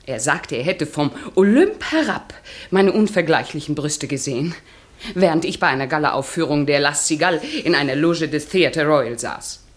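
Casting: imperious, affected society accuser in radio drama, outraged, lowish voice. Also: female voice, expressive, moderate pace. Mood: offended. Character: haughty, outraged upper-class lady accuser. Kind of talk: 1960s German radio drama acting.

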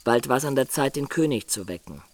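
A man's voice: mid-range voice, lively intonation, a little fast, soft background music, close to an everyday voice. An actor playing an uneasy, dutiful medieval guard giving his account in a radio play. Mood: uneasy, earnest.

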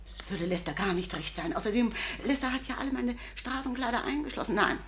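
A woman's voice: fairly high pitch, animated, fast talker, a bit bright, a little hiss or hum. An actress playing a timid, trusting old lady devoted to her husband in a 1950s German radio drama. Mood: timid, anxious. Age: older.

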